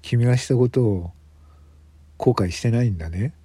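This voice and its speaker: A man playing a grave, weary police detective in a radio drama, speaking in a measured, hesitant way with sighs. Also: medium pitch, warm tone, slightly rough, no room sound, lightly acted. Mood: probing.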